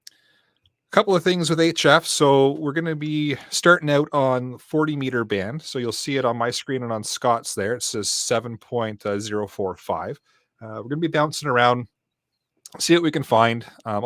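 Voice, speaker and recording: A man's voice: medium pitch, normal speed, fairly bright tone, no echo, clean studio sound. A man hosting a live stream, chatting casually and explaining things as he goes. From Canada. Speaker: podcast host.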